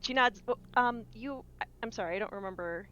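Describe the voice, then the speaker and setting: A woman's voice: fairly high pitch, fairly quick, sharp tone, slight background noise. A woman playing in a tabletop roleplaying game, talking casually and improvising, on a slightly lo-fi microphone.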